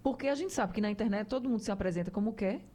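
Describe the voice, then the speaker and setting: Woman, radio health-show host, warm mellow tone, conversational, explanatory, addresses the audience. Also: mid-range voice, rapid-fire. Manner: chatty, explanatory, talks to listeners directly.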